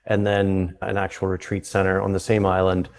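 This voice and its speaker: A man with a Canadian accent, a guest on an interview podcast, explaining his work in a relaxed way and often restarting his sentences. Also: sits low, moderate pace. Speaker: guest, psychedelic retreat founder. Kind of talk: conversational interview.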